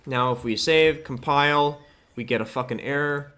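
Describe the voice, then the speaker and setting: A man with a medium-pitched voice talks through a hands-on tutorial in a casual, offhand way. He thinks aloud as he works, explaining each step informally, like someone narrating his own screen. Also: normal speed, sharp tone. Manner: informal, loose and offhand, occasional swearing. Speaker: host of a game modding tutorial.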